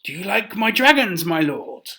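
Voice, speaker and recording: A man's voice: high-pitched, sing-song, moderate pace, pushed and bright, voice pushed up, heavily acted. A man voicing a humble, anxious painter in a children's storybook, earnest and pleading. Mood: deferential. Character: humble male court painter, anxious and protesting.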